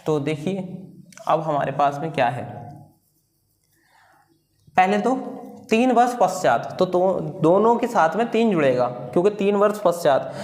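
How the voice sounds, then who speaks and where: A man with a fairly high voice gives an informal lesson in a very echoey room. He explains one step at a time, repeating himself and asking questions before answering them. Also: a little mellow. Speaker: maths teacher.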